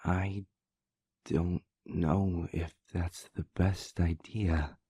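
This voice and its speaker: A man's voice: low-pitched, fairly even intonation, drawn-out words, dark tone, slightly rough, full character voice. A man playing a plain-spoken, uncertain man in an audio roleplay, giving short, tentative replies. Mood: hesitant. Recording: reverberant, echoey sound.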